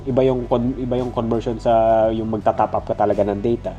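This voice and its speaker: A man's voice: on the low side, fairly even intonation, fairly quick, warm tone, lo-fi sound, faint music behind. A Filipino-accented man with a stuffy nose, giving a casual, conversational gadget review. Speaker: product reviewer.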